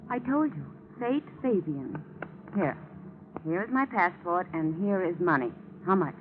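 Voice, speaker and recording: A woman's voice: on the low side, expressive, a little slow, narrow, tinny audio. An actress playing a cool, flirtatious, secretive woman stowaway in a 1940s radio drama. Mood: coy, cool. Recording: thin, noisy 1949 radio recording.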